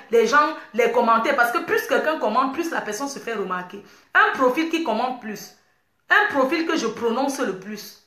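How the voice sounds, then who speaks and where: A woman's voice: on the high side, bright, forceful tone, roomy sound. A woman, talking passionately and repetitively to her online followers in a casual livestream. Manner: impassioned, repetitive, preachy. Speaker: online community host.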